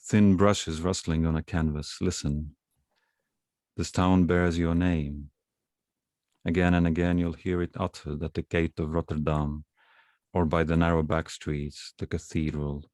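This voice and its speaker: A man with an Estonian accent, Estonian his first language, reading his poems aloud as a guest, measured and hesitant between poems. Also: rumbling low, flat delivery, darkish tone, no room sound, clean studio sound. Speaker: guest poet. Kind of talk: poetry reading.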